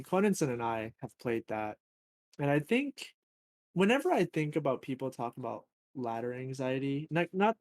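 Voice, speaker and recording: A man hosting a podcast, chatting casually in a relaxed way with plenty of fillers. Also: mid-range voice, no room sound. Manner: relaxed, filler-heavy, laid-back gamer talk. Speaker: podcast host. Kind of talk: casual chat.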